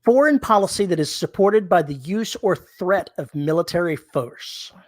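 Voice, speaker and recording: Dry-joking man with a higher voice. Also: lively intonation, moderate pace. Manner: dry, joking, explains terms to listeners. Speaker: podcast co-host. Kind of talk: casual chat.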